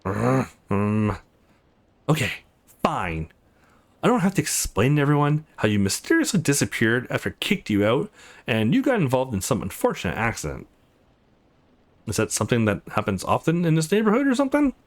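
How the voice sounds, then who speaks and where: A man voicing a tough but secretly soft young woman in a visual novel, blunt, sarcastic and reluctant. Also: medium pitch, wildly swooping pitch, normal speed. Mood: grudging.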